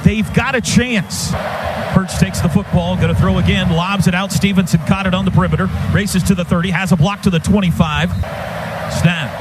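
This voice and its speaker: An American man calling football play-by-play live on radio in clipped running phrases that build to shouts on big plays. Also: high-pitched, steady tone, normal speed. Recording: noisy stadium radio broadcast.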